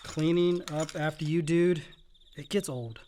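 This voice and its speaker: Man playing dutiful good son, slightly high male voice, dry, curt, resentful, radio drama, faint background noise. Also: unhurried, mellow, natural voice. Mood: dry, resigned. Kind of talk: naturalistic radio play acting.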